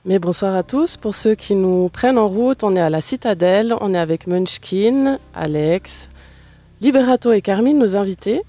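A radio host asks long, rambling questions in a relaxed, chatty way. The voice sits in a middle range with a warm, dark tone. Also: medium pitch, moderate pace, soft background music. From Switzerland. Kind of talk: radio interview.

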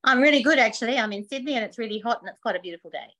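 A woman's voice: fairly high pitch, animated, racing, sharp tone. A woman yoga and pilates teacher talking earnestly and enthusiastically as a podcast guest. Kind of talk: casual interview. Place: van while traveling.

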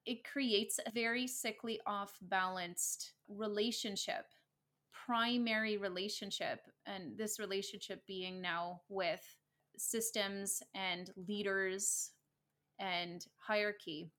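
A woman's voice: mid-range voice, fairly even intonation, slow, fairly bright tone. A woman co-hosting a podcast, speaking earnestly and reflectively about spirituality in casual conversation. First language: English. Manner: earnest, reflective, laughs easily. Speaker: podcast co-host.

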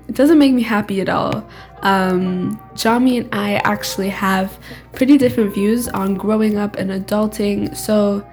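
A woman's voice: mid-range voice, a little mellow. A teenage girl co-hosting a podcast, talking candidly and rambling, often laughing at herself. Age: teens. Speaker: podcast co-host. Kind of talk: casual solo chat.

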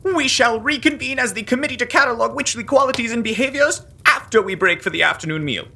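A woman playing a pompous, officious Puritan gentleman who leads a club, in a comic audio drama with archaic diction. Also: fairly high pitch, animated, fast talker, gravelly, slightly rough, heavily acted. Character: pompous Puritan gentleman, earnest leader of witch-hunting club.

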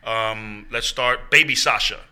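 A man, a church pastor, interviewing a member in a casual, animated way with slang and frequent laughs. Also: on the low side, a little slow, clear, resonant tone.

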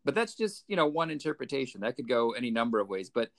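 A man's voice: mid-range voice, sing-song, fast talker, vocal fry, fairly bright tone. A man co-hosting a podcast, chatting casually and conversationally in a comic book review style. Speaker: podcast co-host.

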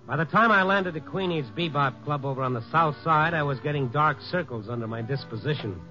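An actor playing a wry, world-weary newspaper reporter in a 1950s radio noir style, with wisecracking delivery. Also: male voice, on the high side, brisk, music in the background, lightly acted. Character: wry, hard-boiled night-beat newspaper reporter. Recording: muffled vintage 1950s radio recording.

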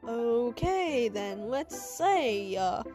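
A woman's voice: fairly high pitch, sing-song, very slow, music in the background, pitched below the performer's own voice, exaggerated voice. A woman voicing a flustered, fussy middle-school teacher in an improvised story, hesitant, repetitive and exasperated. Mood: weary.

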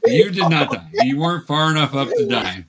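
A man's voice: a little high, lively intonation, fairly quick, over a noisy crowd. A man acting as game master, patiently narrating and explaining the rules of a tabletop role-playing game to beginners.

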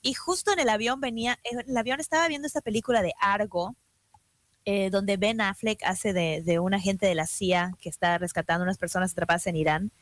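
A woman with a Mexican accent talks in a casual podcast discussion. Her voice sits at an average pitch, with very little room sound. She thinks aloud and frames her points as open questions. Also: brisk, dry recording. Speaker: talk show co-host.

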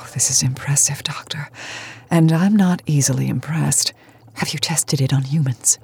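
An aging, driven cosmetics tycoon in a campy radio play. Her voice is very low for a woman, cool and commanding, with clipped, imperious phrasing. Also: unhurried, vocal fry, darkish tone, slightly rough, soft background music, exaggerated voice. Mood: intrigued. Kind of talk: campy satirical audio drama acting.

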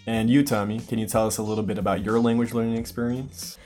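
A man from the United States hosting a casual language-learning podcast, explaining things patiently with clear examples. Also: lowish voice, steady tone, quick pace, mellow, soft background music. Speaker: podcast host. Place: lives in Brazil.

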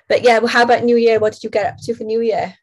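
Woman podcasting with a stuffy cold, nasal and croaky, chatty, laughs a lot. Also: on the high side, brisk, lo-fi sound. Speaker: podcast co-host. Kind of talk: casual podcast chat.

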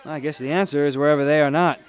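An actor playing a kindly, unflappable gentleman traveller, polite and eloquent, in a radio drama. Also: on the high side, steady tone, quick pace, clear, resonant tone, a faint crowd, close to an everyday voice. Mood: wry, brisk.

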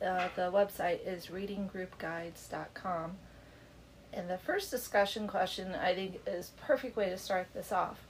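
A woman's voice: on the low side, fairly even intonation, unhurried, slight background noise, low-quality recording. A middle-aged woman with a congested voice, hosting a chatty book club podcast from her home basement and laughing easily. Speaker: podcast host. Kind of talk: casual book club chat.

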